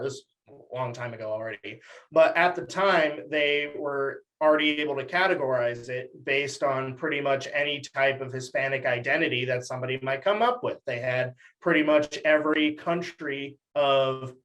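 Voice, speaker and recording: A man's voice: medium pitch, steady tone, normal speed, fairly bright tone, low-quality recording. A young man from Texas giving articulate, conversational political commentary as an interview guest. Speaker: podcast guest, academic commentator.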